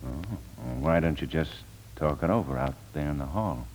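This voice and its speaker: An American actor playing a wry, folksy but commanding police lieutenant in a 1940s radio noir drama. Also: male voice, a bass voice, moderate pace, darkish tone, poor audio. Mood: amused.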